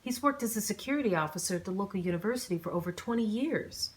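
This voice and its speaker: A woman's voice: mid-range voice, lively intonation, fast, natural voice. Guarded middle-aged wife, read by a woman, audiobook character, stern, questioning, protective.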